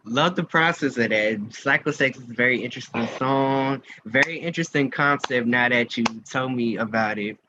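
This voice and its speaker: A man hosting a casual interview, asking questions in a halting way and often rephrasing himself. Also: mid-range voice, dull audio, noisy background. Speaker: interview host.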